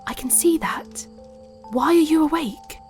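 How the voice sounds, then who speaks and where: Cheeky teenage Norse warrior girl, higher voice, audio drama with music underneath. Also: a little slow, a little mellow. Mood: whispered curiosity.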